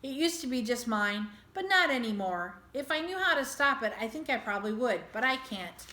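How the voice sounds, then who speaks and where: A woman's voice: fairly high pitch, very animated, moderate pace, pushed and bright. Earnest stubborn schoolboy, read by a woman, echoey. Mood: resolute. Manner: earnest, insistent, plain-spoken. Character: earnest, stubborn schoolboy arguing with grown-ups in storybook. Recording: echoey room.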